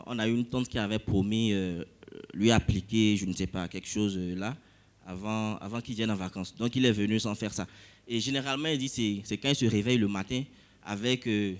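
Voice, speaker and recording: West african french guy telling a church testimony, lots of euhs, gets worked up. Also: medium pitch. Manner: animated storytelling with frequent hesitations. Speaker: church member giving testimony.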